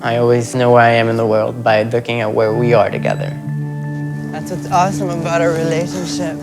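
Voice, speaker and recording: A man's voice: medium pitch, brisk. A young man from South Florida speaking reflectively and earnestly in a documentary interview. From South Florida, United States. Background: soft music score.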